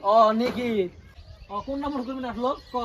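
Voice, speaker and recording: A man's voice: very high-pitched, fairly even intonation, a little fast, fairly bright tone, slight background noise. A man acting a flustered, evasive villager in a comedy sketch, with a northern Bengali regional dialect.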